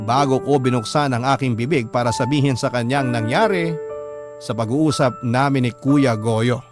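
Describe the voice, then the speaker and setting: A man playing a troubled young husband, narrating his story in a measured, confiding way for a radio drama. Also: mid-range voice, lightly acted. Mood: rueful, confiding. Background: instrumental music.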